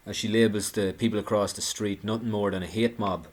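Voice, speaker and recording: Man, deep voice, sarcastic commentator delivering an indignant monologue with stumbles and restarts. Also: level tone, fairly quick. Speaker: political commentator.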